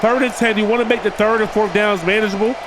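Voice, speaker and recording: A man's voice: sits high, fairly even intonation, fast, noisy background. Nasal, stuffed-up man calling game plays. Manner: addresses viewers as "boys", hypes big plays. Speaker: gaming video creator. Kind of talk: play-by-play commentary over a football video game.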